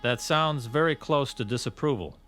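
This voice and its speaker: A man's voice: mid-range voice, moderate pace, a bit bright, natural voice. Actor as solemn, zealous male professor. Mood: insistent, stern. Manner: formal, precise, insistent. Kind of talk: radio drama acting. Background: faint music.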